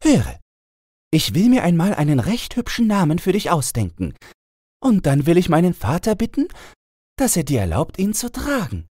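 A man's voice: on the high side, huge pitch swings, normal speed, a little mellow, no echo, studio quality, pitched above the performer's own voice. A man voicing a cheerful young fairy-tale princess with playful, naive, bossy chatter. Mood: amused, chattering.

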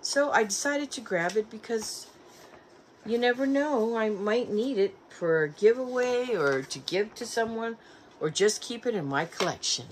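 A woman's voice: a little high, animated, a little slow, a little hiss or hum. A middle-aged woman chatting through a thrift haul at home, reading back covers aloud and stumbling over names, recorded by a camera in front of her in a room lined with shelves. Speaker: collector showing a thrift haul. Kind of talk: casual haul video. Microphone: camera in front, seated in armchair.

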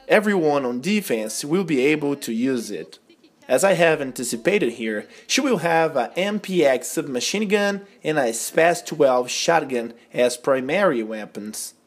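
A young man from Brazil with a Brazilian Portuguese accent, a native Portuguese speaker, casually narrating a game review voice-over with enthusiasm and some hesitant restarts. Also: a little high. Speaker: gaming video host.

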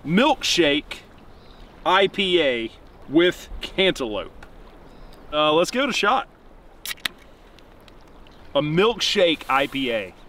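A man's voice: on the high side, fairly bright tone. A man in his thirties hosting a vlog, chatting casually and informally to the camera. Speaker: vlog host. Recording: distant mic, clear background noise.

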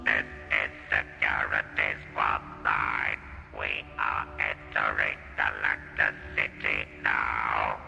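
A man voicing a cold, domineering alien machine in a science-fiction audio drama, croaking slow commands through an electronic robot effect. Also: rumbling low, over music, exaggerated voice. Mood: cold, commanding. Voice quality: croaking, grating mechanical monotone. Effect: electronic robot voice modulation.

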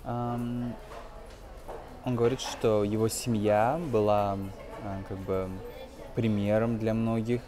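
A young man in his twenties with a fairly low voice teaches English informally. He explains hesitantly, one phrase at a time, while music plays clearly behind him. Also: little pitch movement, slow pace. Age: 20s. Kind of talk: informal film-based language lesson.

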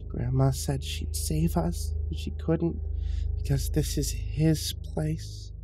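An eerie ghost child put on by a male narrator gives bitter warnings in a horror story. The words come slowly and quietly, pitched up, with menace in them and music behind. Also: fairly even intonation. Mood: ominous warning. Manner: slow, hushed, menacing.

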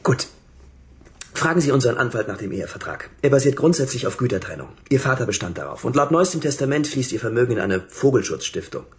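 An actor playing an irritable, suspicious husband in a radio drama, answering curtly and defensively. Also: male voice, medium pitch, very animated, quick pace, lightly acted. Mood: irritated. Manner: curt, indignant, suspicious. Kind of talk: German radio drama acting.

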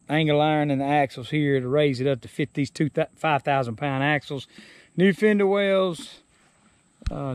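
A man's voice: fairly high pitch, no echo. A man in his forties with a Southern US accent, casually showing off a rebuilt trailer outdoors on a farm, rambling and restarting his sentences as he goes, recorded on a handheld action camera. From Alabama. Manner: folksy, rambling, stops and restarts mid-sentence. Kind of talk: casual walkaround demonstration. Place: outdoors on a rural farm lawn. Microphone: action camera held or worn, sometimes set down.

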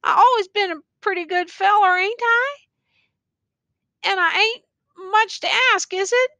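A woman's voice: very high-pitched, a little slow, sharp tone, no room sound, voice pushed up, full character voice. A woman voicing a dying tall male soldier who begs in a rustic drawl, then stubbornly refuses help. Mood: pleading. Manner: rustic drawling dialect, begging.

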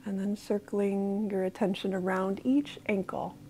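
A woman with an American accent, slowly guiding a body scan meditation in a soft, calm voice. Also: mid-range voice, slight background noise, rough recording. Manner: slow, soft-spoken, calm guidance with pauses. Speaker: mindfulness guide.

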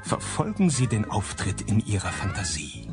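An actor as a wry, deadpan narrator delivering scripted radio crime drama narration. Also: male voice, on the low side, moderate pace, vocal fry. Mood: atmospheric. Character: wry, brooding male crime-story narrator. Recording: music behind the voice.

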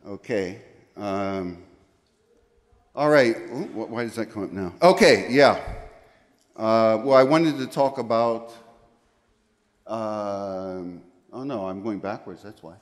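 Middle-aged American man as a conference presenter; rambling, checks in with "okay?", handheld mic in a lecture hall with reverb. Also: on the low side. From United States. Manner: rambling, self-correcting, frequent "okay?" check-ins. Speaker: conference speaker. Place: large lecture room, indoors. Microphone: handheld microphone.

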